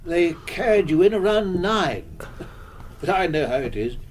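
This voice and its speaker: An actor playing a chatty, wry hospital patient in a radio drama, folksy and darkly joking. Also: male voice, fairly high pitch, very animated, measured pace, a little hiss or hum.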